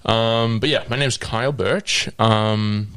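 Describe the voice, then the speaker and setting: Young New Zealand man, twenties, podcast guest telling his testimony, low voice, hesitant, dry close sound. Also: slow, fairly bright tone, clear, resonant tone, no echo. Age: 20s. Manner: hesitant, with frequent ums and laughs. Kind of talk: sharing a personal faith testimony.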